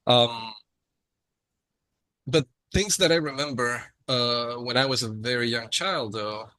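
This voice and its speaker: A bright, forward-sounding man from Chile, speaking as a podcast guest. He tells his story casually and warmly, with frequent ums and uhs. The recording is dry and close to the mic. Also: mid-range voice, slow pace, no echo. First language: Spanish.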